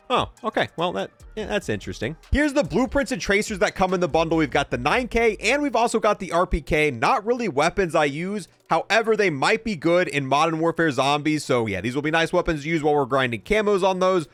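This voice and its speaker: A man's voice: fairly high pitch, fairly bright tone, no echo. A man giving casual, offhand commentary as he showcases a video game, with some dry humor. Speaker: gaming video presenter.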